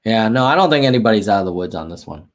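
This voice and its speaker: A man's voice: lowish voice, lively intonation, very fast. An American man hosting a casual livestream, thinking aloud over charts and reading viewer chat, with frequent ums and self-corrections. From United States. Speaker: livestream host. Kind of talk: casual data walkthrough on livestream.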